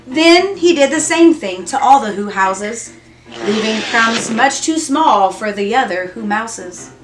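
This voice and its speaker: A woman's voice: on the high side, animated, unhurried. A woman reading a rhyming children's story aloud, expressively, with singsong rhythm and dramatic emphasis. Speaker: storybook reader. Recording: roomy echo with music behind.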